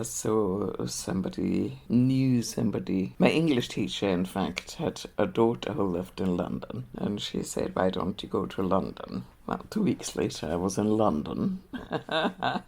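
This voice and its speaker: A woman's voice: rumbling low, gravelly, a little mellow, a little hiss or hum. Older Austrian woman reminiscing. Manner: hesitant, many fillers and restarts. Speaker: interviewee. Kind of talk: reminiscing interview.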